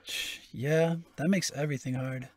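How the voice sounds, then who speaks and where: Woman playing a husky, teasing teenage student. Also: rumbling low, fairly even intonation, moderate pace. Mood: amused. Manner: slangy, casual. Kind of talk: amateur audio drama acting.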